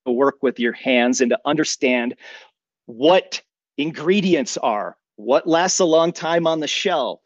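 Higher-voiced earnest man, slightly dull recording. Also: animated, a little slow, no echo. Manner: earnest, opinionated, rhetorical questions to himself. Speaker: podcast guest, emergency preparedness expert. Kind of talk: conversational interview, long personal explanations.

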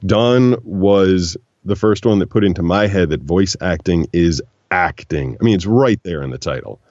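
A man with a very low, deep voice tells stories in an animated, relaxed way, slipping into other voices when he quotes people. The room is dry and close. The sound is a little dull and lo-fi. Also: moderate pace, no echo. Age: 40s. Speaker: guest, voiceover actor. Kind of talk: relaxed storytelling conversation.

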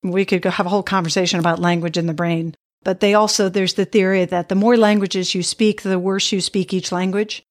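A middle-aged woman hosts a relaxed podcast conversation. She talks in a chatty, reflective way, laughing now and then, with a voice of ordinary pitch. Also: a little fast, hardly any pauses. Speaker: podcast host. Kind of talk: casual interview chat.

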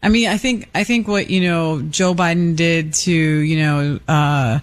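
A man's voice: a little high, fairly even intonation, a little slow. A man co-hosting a radio show, bantering with blunt, opinionated remarks. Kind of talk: casual studio banter.

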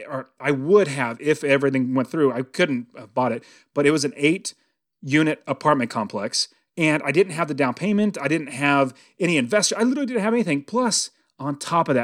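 An American man in his early forties hosting a motivational podcast monologue, conversational and emphatic, repeating phrases for stress. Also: a little high. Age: early 40s. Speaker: podcast host and real estate investing coach.

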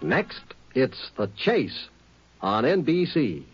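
Lively 1950s radio network announcer, man. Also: medium pitch, takes it slowly, over music. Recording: muffled 1950s radio recording.

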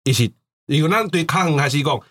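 A man's voice: on the high side, animated, quick pace, a bit bright. A man with a Taiwanese accent explaining things casually as a talk-show host, often repeating words for emphasis. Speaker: podcast host.

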